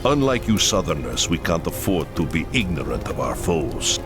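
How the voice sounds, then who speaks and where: A grave, deep-voiced camp leader. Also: male voice, sing-song, moderate pace. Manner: earnest and solemn, pleading at times. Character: grave, proud Kislevite camp leader, middle-aged man. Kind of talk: game cutscene voice acting. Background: orchestral game music.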